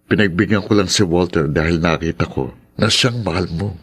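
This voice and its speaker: A man's voice: low-pitched, brisk, breathy edge. An actor in a Tagalog radio drama playing a devoted, persistent suitor, sincere and teasing as he woos. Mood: teasing, earnest.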